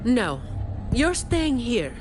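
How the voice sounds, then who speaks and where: An actress playing a composed, world-weary professional fighter with a dry, measured delivery in a video game. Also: female voice, on the high side, big pitch swings, over music, full character voice. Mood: resolute, weary.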